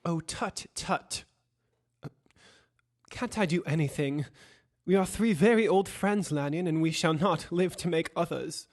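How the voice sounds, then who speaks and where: A man playing a worried, loyal older gentleman lawyer in an audio drama, earnest and formal, with a long reverberant echo. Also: fairly high pitch, a little slow. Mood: concerned. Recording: reverberant, long echoing tail.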